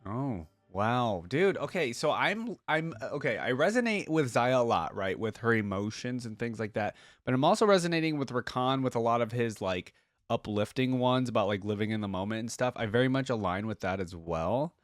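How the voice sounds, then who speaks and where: A man's voice: medium pitch, lively intonation, normal speed, no room sound, clean studio sound. A man hosting a reaction video, giving casual commentary and thinking aloud as he goes.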